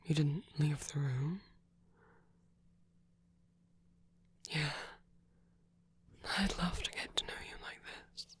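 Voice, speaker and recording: A performer voicing a gentle, slightly grumpy, possessive supernatural lover in an ASMR romance roleplay, speaking softly and tenderly with hesitant pauses and frequent whispering. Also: medium pitch, slight background noise, close to an everyday voice. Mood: reassuring, tender. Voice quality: soft, breathy, often whispered. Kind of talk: ASMR romance roleplay, intimate one-sided dialogue.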